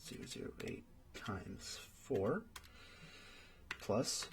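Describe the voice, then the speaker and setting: A man's voice: on the low side, very animated, very slow, vocal fry, noisy background, full character voice. A man playing a friendly young friend in an ASMR roleplay, patiently tutoring and thinking aloud in a hesitant way. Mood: concentrating, unsure. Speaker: study partner.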